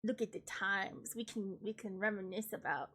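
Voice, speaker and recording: A woman's voice: mid-range voice, moderate pace, a bit bright. A young woman streamer with a stuffed-up, congested voice, chatting casually and laughing at herself. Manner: chatty, self-deprecating, giggly asides. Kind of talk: casual chat.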